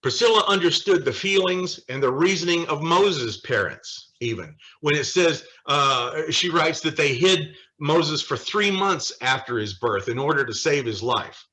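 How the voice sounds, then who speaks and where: Bright-voiced American man teaching Bible study. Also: fairly high pitch, animated. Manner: conversational, halting, with frequent pauses and emphatic asides. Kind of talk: informal teaching with quoted scripture and scholars.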